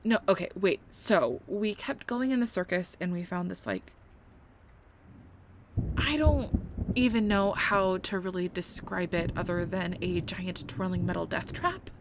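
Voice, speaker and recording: A woman's voice: fairly low pitch, measured pace. A young woman playing a nerdy, playful girlfriend who rambles about tabletop games in an intimate, casual ASMR roleplay style. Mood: excited, rambling. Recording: storm ambience with thunder behind. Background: thunder and storm noise.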